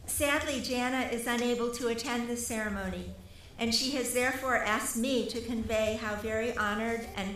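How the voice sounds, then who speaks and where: Female professor, fairly high voice, formal read acceptance speech, roomy echo. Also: a bit bright. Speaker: professor accepting an award on another's behalf. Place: large ceremony hall. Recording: echoey hall, faint noise.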